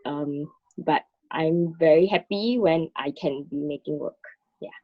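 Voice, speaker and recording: A woman's voice: low-pitched, unhurried, no echo. A woman talking casually and reflectively as an artist, hesitant and a little self-conscious as she searches for words, on a muffled recording. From Singapore. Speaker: artist in dialogue with a fellow artist.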